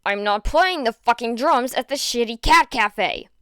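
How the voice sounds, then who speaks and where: A woman's voice: a little high, expressive, measured pace, pushed and bright, clear, resonant tone, dry recording, clean studio sound. A woman voicing an explosive, aggressive teenage boy who barks and swears in a fan fiction audiobook. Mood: angry. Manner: barking, swearing.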